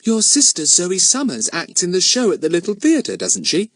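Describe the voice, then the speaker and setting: A man's voice: fairly high pitch, big pitch swings, normal speed, slightly rough, dry recording, studio quality, voice pushed up. A man voicing a sensible, polite schoolgirl in a storybook, earnest and a little prim.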